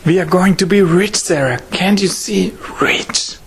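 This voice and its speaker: A man playing a greedy, scheming small-town butcher in a horror audio drama, expressive and furtive. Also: sits high, unhurried, husky, heavily acted. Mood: greedy, defiant.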